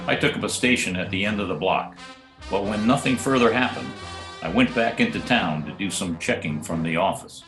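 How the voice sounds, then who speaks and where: An actor playing a wisecracking, sardonic private detective who also narrates, in a 1940s-style radio drama. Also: male voice, lowish voice, a little fast, lightly acted. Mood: matter-of-fact. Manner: dry, sardonic. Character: wisecracking hard-boiled private detective, narrating his case. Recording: roomy remote home recording, faint music.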